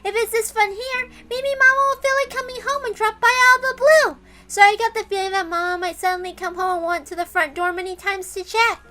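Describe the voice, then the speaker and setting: A woman's voice: extremely high pitch, steady tone, fairly quick, hardly any pauses, sharp tone, voice pushed up. A woman voicing a cheerful, innocent young girl with a gushing, childlike manner, reading a visual novel in character. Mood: wistful, hopeful.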